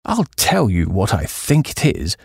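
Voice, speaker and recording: A dim but chummy young gentleman, voiced by a man reading an audiobook. He talks with earnest wonder, in a slightly husky voice on a dry recording. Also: sits low, wildly swooping pitch, a little slow, no echo, voice pushed down, full character voice. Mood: awed.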